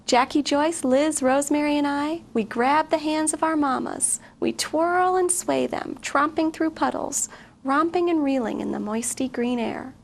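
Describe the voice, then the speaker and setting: A woman's voice: high-pitched, unhurried, creaky. A woman reading a picture book aloud expressively to children. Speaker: guest story reader. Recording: faint background noise.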